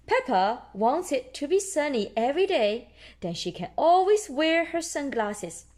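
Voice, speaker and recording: Female children's storyteller, higher-pitched, hugely expressive, unhurried read-aloud for little ones. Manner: expressive, deliberate delivery for toddlers. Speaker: storyteller reading picture books aloud. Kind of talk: children's read-aloud narration with ad-libbed asides.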